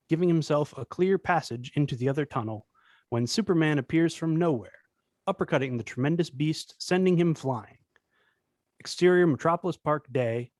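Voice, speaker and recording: A man reads screenplay action and scene headings as narrator at a table read. His voice is slightly high for a man, with a dry, close sound. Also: darkish tone, dry recording. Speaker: narrator reading stage directions.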